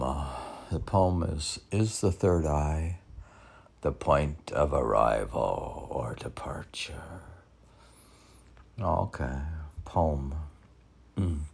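A man's voice: low-pitched, creaky, darkish tone, husky. A man reading his own poetry, drifting into musing, meandering asides as if talking to himself. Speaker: poet reading own work.

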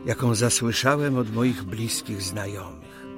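An actor playing a 19th-century Polish official, speaking in formal, old-fashioned phrases with deliberate pauses, earnest and dignified in an audio drama. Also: male voice, lowish voice, music in the background. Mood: nostalgic, reflective. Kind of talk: audio drama acting and diary narration.

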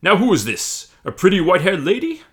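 A man voicing a huge, vain, jolly forest man who booms and sings his lines in a comic audio story. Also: big pitch swings, brisk, a bit bright. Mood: boastful. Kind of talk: comic audio fan fiction.

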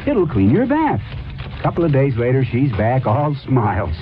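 A man's voice: mid-range voice, moderate pace, slight background noise. A man playing a chatty, proud supermarket manager telling his story in a radio commercial. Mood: proud, upbeat. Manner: animated, boastful, conversational salesmanship. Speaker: commercial actor. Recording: dull lo-fi old radio, music behind.

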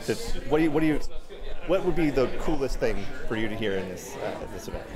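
A man's voice: mid-range voice, animated, fast talker, crowd noise. A man doing casual, chatty, encouraging vox-pop interviews at an event. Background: music.

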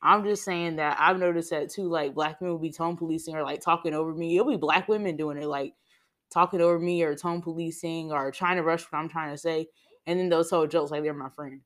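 A young woman talks in a relaxed group discussion. Her voice sits a little low, and her delivery is blunt and conversational. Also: brisk. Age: young adult.

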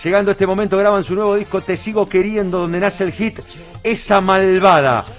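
A male host with an Argentine accent speaks in a high-set voice. His presenting style is theatrical and emphatic, made for a show interview. Faint music sits behind him. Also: steady tone, normal speed.

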